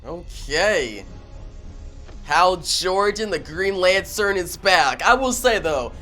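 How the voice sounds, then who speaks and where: Unhurried, higher-pitched man reacting excitedly. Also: faint music behind. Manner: exclamatory, slangy, emphatic. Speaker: reaction video host and reviewer. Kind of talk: casual excited reaction commentary.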